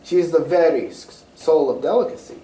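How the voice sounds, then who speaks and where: A man's voice: on the high side, a little fast, warm tone, lightly acted. Man as an anxious, proud king, echoey and distant. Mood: grave, anxious. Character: anxious, proud European king confessing a scandal. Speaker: amateur stage actor. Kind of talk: 1940s-style radio mystery acting. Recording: roomy, distant stage recording with faint noise.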